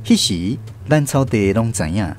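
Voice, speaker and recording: A man with a Taiwanese accent narrating a literary radio drama in a folksy, expressive storytelling style. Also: on the low side, measured pace. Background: instrumental music.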